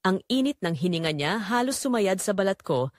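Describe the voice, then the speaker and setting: A woman with a Filipino accent reading a scripted first-person story in a measured, dramatic way. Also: medium pitch, lively intonation, no room sound, clean studio sound. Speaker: audiobook narrator.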